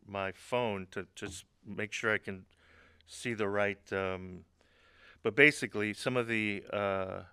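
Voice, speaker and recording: A middle-aged man from Honduras giving a webinar presentation as a government agency speaker, talking in a halting way with many fillers and restarts and light humorous asides. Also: fairly low pitch, pushed and bright, clear, resonant tone, dry recording, studio quality.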